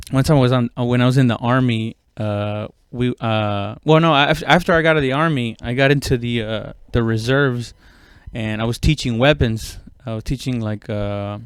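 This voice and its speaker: A man's voice: medium pitch, clean tone, no echo, clean studio sound. A man telling casual, comedic stories as a podcast host, rambling along in slangy, profane talk.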